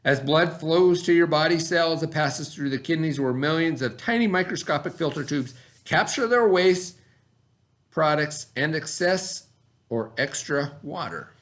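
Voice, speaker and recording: Man, middle-aged, somewhat high-pitched, teacher read-aloud, instructive, simple explanations. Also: animated, a little slow, a bit bright. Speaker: teacher reading aloud to children.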